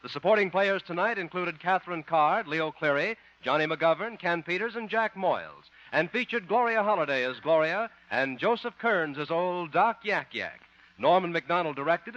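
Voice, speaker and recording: A man reading credits and station announcements in a crisp, formal announcer's delivery. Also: on the high side, no echo. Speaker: radio announcer. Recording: muffled 1950 radio broadcast with music.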